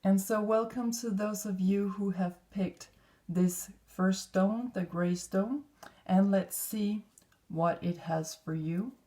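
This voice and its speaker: A woman from Denmark with a Danish accent, giving an unscripted spiritual card reading to viewers in a measured, halting way. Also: medium pitch, warm tone. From northwestern Denmark. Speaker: tarot and oracle card reader.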